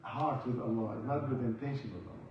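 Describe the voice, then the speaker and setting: A man's voice: mid-range voice, fairly quick, a crowd in the background. A man teaching a religious class, quizzing his listeners and repeating key words with emphasis. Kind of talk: informal question-and-answer lesson. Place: mosque prayer hall. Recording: echoey hall, far from the mic, dull.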